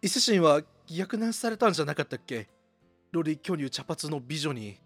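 Man acting as a bewildered young man, swooping pitch. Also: sing-song, normal speed, fairly bright tone, dry recording, faint music behind, full character voice. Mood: confused. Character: bewildered young man lost in a parallel world. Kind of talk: amateur radio drama dialogue and inner narration.

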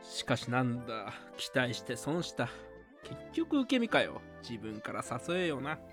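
A man's voice: mid-range voice, very animated, measured pace, music in the background. A man playing a cynical, womanizing university student with a blunt, grumbling way of talking in an amateur radio drama.

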